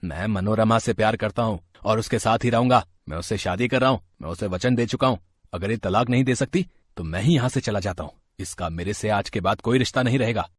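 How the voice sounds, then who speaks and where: An actor playing an irritable, selfish husband in a storybook family drama, husky-voiced, impatient and insistent. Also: male voice, fairly low pitch, fairly quick, no echo. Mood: defiant.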